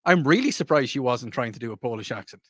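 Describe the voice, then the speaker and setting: A man's voice: medium pitch, lively intonation, fast, husky, no room sound. Irish guy doing talk radio, repeats himself a lot, laughs, bouncy voice, super dry mic. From Ireland. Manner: rambling, repetitive, mocking, laughs often. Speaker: radio show host. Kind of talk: casual talk radio monologue.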